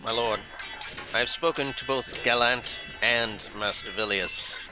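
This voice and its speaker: A man's voice: medium pitch, a little slow, loud music behind the voice, natural voice, low-quality recording. A man playing a servile alien henchman, eager to please, reporting to his lord in an audio drama.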